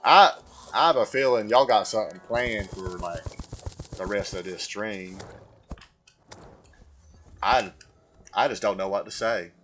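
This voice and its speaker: A man voicing a slow, simple, earnest Southern American man with a folksy drawl while chatting on a gaming livestream. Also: on the low side, a bit bright. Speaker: video game streamer. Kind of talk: livestream gaming chat held in a put-on voice. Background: music.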